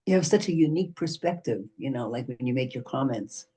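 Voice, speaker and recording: A man's voice: medium pitch, animated, a little fast, dark tone, slightly rough. A man on an arts panel telling a rambling anecdote, full of "so" and "you know". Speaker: panelist, arts organization president.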